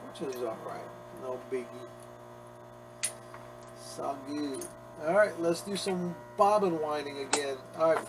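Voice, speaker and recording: A man's voice: on the high side, rough recording. A man talking casually as he works on a leather restoration, chatty and self-mocking. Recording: workshop noise behind the voice.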